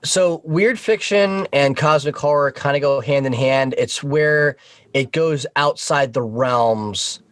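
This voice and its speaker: A man's voice: mid-range voice, takes it slowly, fairly bright tone, dry recording. A man talking informally as a podcast guest, explaining things in a conversational way.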